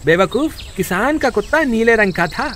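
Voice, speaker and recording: A man's voice: sits high, lively intonation, normal speed, a bit bright, slight background noise. A man voicing a foolish, scheming village crook with a whiny, exclamatory manner in a cartoon. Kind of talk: Hindi cartoon voice acting.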